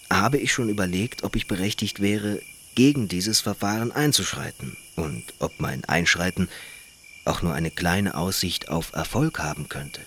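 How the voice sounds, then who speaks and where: A man playing a reserved, principled traveller in a radio play, speaking in a measured, formal and resolute way. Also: sits low, fairly bright tone, a little hiss or hum. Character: reserved, principled male foreign traveller, calmly firm. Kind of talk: German radio play acting.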